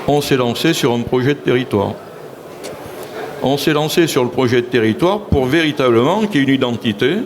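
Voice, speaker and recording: A man's voice: medium pitch, darkish tone, slight background noise. A man, a local elected official, speaking assertively in a public panel talk and often saying "si vous voulez".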